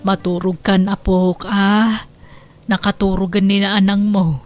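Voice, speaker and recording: Elderly grandmother for radio drama, voiced by a woman: gentle, unhurried, sorrowful, soothing and pleading. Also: medium pitch, level tone, faint music behind, lightly acted. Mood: sorrowful, resigned. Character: gentle, long-suffering elderly grandmother caring for her troubled daughter.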